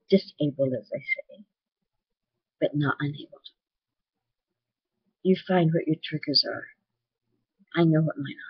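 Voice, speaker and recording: A woman with a naturally loud voice talking candidly and earnestly to her webcam in a personal video. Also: low-pitched, slightly rough, no room sound, highs cut off. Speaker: vlogger.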